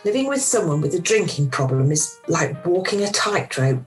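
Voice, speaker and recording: Middle-aged mother for radio drama, played by a woman: lowish voice, emotional and wry, confiding. Video-call sound with music underneath. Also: very animated, normal speed. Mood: shaken, confiding. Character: Frankie, weary emotional mother of grown children, wryly confiding. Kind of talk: radio play dialogue. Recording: amateur video-call recording with music behind.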